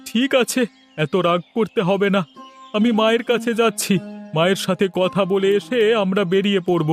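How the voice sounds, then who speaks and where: A man's voice: high voice, fairly even intonation, moderate pace, faint music behind, natural voice. A man playing a dutiful, conciliatory adult son in a cartoon, speaking in an earnest, placating way. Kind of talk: Bengali cartoon voice acting.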